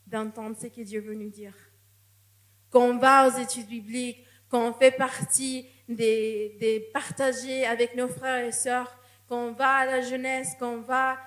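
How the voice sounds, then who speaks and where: A woman preaches in a church, and her voice sits a little high. She speaks in an earnest, personal tone and often restarts a phrase before going on. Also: fairly bright tone. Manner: earnest, testimonial, with frequent restarts. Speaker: guest preacher. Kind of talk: sermon.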